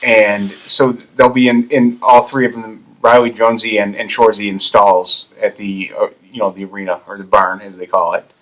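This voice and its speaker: A man co-hosting a podcast, retelling anecdotes casually in a halting way. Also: fairly low pitch, level tone, a bit bright, low-quality recording. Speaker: podcast co-host.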